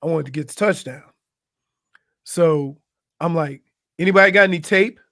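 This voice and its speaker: A man co-hosting sports radio, a former football player telling animated stories. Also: a little high, moderate pace. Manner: storytelling, animated punchlines. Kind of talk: casual sports talk. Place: radio studio. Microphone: studio mic.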